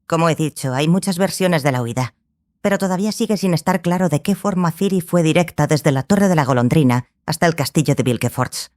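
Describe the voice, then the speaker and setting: A composed female mentor sorceress, acted by a woman in a fantasy audiobook. Her voice is low and close, without room sound. She gives instruction with measured authority. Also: darkish tone, no room sound, natural voice. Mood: commanding.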